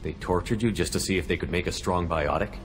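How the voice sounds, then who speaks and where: An actor playing a steady, commanding squad leader in a video game, with a firm, measured, probing manner. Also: male voice, low voice, natural voice. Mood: firm, questioning. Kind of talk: video game dialogue acting.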